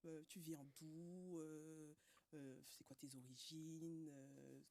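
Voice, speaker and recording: A woman from Cameroon hosting a podcast, asking questions in a relaxed, friendly way. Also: low-pitched, takes it slowly. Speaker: podcast host. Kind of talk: casual interview.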